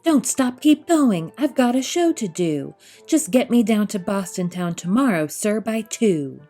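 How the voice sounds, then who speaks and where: A selfish, demanding circus boss acted out by a woman reader from a children's picture book. He barks his orders with bossy self-importance, in a softened, rounded tone with faint music underneath. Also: mid-range voice, lively intonation, unhurried. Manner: barking, shouted commands. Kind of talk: children's storybook character reading.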